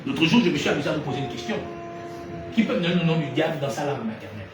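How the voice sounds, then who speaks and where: A man preaching a sermon in an animated way, rising to loud emphatic peaks and then dropping low. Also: very fast, over music. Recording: echoey room from far off, noisy ambience.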